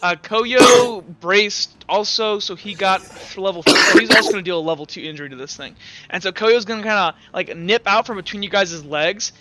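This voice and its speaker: A man's voice: high voice, normal speed, noisy background. A young man running a tabletop game as game master, talking casually, stumbling over rules and narrating gory combat.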